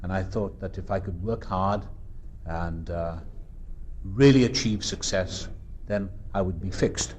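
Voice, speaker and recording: Low-voiced Welsh man, earnest and reflective. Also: darkish tone, slight background noise. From South Wales. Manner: earnest and reflective, with pauses and restarts. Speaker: recovery meeting speaker. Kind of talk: personal recovery talk. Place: meeting room.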